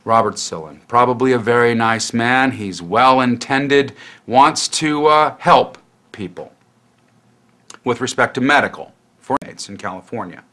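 A man giving a critical interview comment in a measured way. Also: medium pitch, sharp tone. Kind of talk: interview soundbites.

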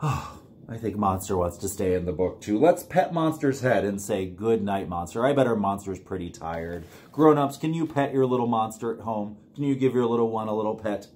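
A middle-aged American man reading a picture book aloud to young children in an animated, encouraging way. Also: lowish voice, moderate pace. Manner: animated, exclaiming, simple encouraging talk to little ones. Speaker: children's librarian reading online storytime. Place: small plain room indoors.

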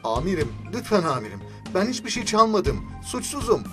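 Cartoon shopkeeper: wheedling, easily alarmed, lively intonation, loud music underneath. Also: male voice, high-pitched, moderate pace. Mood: alarmed, pleading. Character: wheedling male umbrella shop salesman, opportunistic, easily alarmed. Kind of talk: children's educational cartoon dub.

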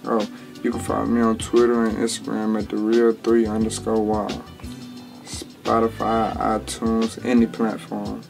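A man's voice: fairly low pitch, monotone, measured pace, creaky. A twenty-year-old man, a rapper, answering interview questions in a laid-back, drawling way with lots of slang and restarts, in a small home studio. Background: music bed under the talk.

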